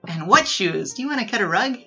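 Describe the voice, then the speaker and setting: A woman's voice: medium pitch, big pitch swings, fast talker, pushed and bright, breathy edge, dull audio, full character voice. A woman playing a glib, flirtatious bandit who confesses and argues in a comedic noir audio drama. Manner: glib, digressive, argumentative. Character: brash, chatty, flirtatious female bandit king confessing her crimes. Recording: music bed under the voice.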